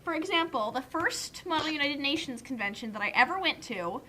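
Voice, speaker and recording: A woman's voice: sits high, animated, brisk, pushed and bright, a little hiss or hum, low-quality recording. A young adult woman giving casual comedic commentary in an emphatic, nerdy, argumentative way.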